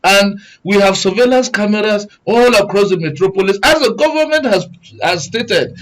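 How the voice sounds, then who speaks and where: A man's voice: sits high, animated, normal speed, a bit bright, rough recording. A man with a Nigerian accent discussing public affairs as a panel guest, earnest and wordy, often repeating phrases as he builds his points.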